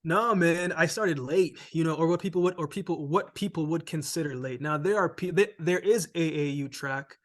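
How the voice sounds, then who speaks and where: Relaxed Jamaican-born man telling his story. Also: fairly high pitch, moderate pace, few pauses. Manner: relaxed, says "man" and "right?" often. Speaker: interview guest, former sprinter. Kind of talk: casual storytelling interview.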